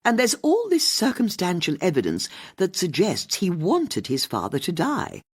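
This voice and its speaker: A woman's voice: lowish voice, huge pitch swings, moderate pace, breathy edge, voice pushed up, exaggerated voice. A woman voicing a shrewd, chatty older English amateur sleuth, politely probing while sounding casual, in an audiobook mystery.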